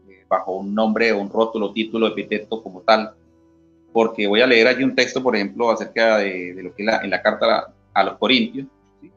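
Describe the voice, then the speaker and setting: A man's voice: on the low side, fairly bright tone. Teacherly man reading scripture. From Colombia. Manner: teacherly, reads scripture aloud, asks "¿sí?" often. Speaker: interview guest, former church member. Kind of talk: explaining Bible passages in an interview. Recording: dull lo-fi audio with faint guitar music.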